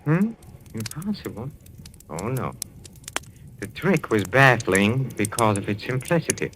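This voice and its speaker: An actor playing a suave French detective posing as a medium, courteous and unhurried, with a French accent, in old radio drama style. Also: male voice, mid-range voice, very animated, a bit bright. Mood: assured. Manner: unhurried, courteous, knowingly superior. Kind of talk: 1940s radio drama acting. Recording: noisy old-time radio recording.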